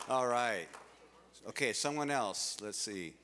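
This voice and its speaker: A man's voice: medium pitch, lively intonation, drawn-out words, bright, forceful tone, slight background noise. A man hosting a live community gathering, upbeat and laughing often as he calls on speakers. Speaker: event emcee. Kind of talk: live event hosting with audience.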